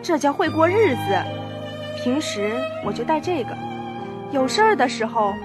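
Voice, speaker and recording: A young woman acting a thrifty, sharp-tongued working wife in an amateur student radio drama. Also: high voice, big pitch swings, normal speed. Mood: smug. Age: young adult. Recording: loud music behind the voice. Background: music with violin.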